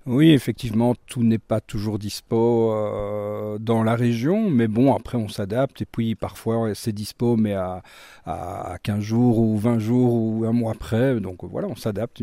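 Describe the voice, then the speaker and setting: A man's voice: fairly low pitch, moderate pace, creaky, dark tone, no echo. A middle-aged man with a Belgian French accent, speaking casually as a chef being interviewed. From Brussels, Belgium.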